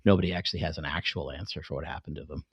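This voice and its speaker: A man's voice: a bass voice, steady tone, very fast, slightly rough, no echo, highs cut off. A man, middle-aged, talking casually on a podcast and explaining things in an enthusiastic, rambling way. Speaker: podcast host and fiction writer.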